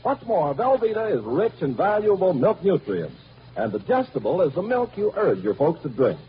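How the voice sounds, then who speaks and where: A man's voice: sits high, very animated, normal speed. An American male announcer reading a 1940s radio advertisement with a polished, persuasive sales delivery. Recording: noisy, muffled 1940s radio recording.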